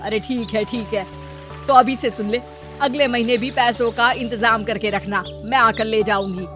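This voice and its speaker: A woman's voice: a little high, fairly even intonation, moderate pace, lightly acted. A woman playing a greedy, scheming middle-aged mother in a cartoon story, with a dramatic, scolding and wheedling manner. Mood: indignant. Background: background music.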